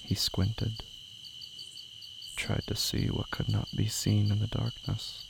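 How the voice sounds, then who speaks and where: Deep, gravelly man narrating horror. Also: completely flat delivery, takes it slowly, noisy background. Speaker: horror fiction podcast narrator. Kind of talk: slow scripted horror narration.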